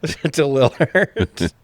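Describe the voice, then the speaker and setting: A man's voice: medium pitch, very animated, very slow, no room sound. Irreverent, swearing man in a dry room. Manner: irreverent, heavily profane. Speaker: podcast co-host. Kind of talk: casual comedic movie recap.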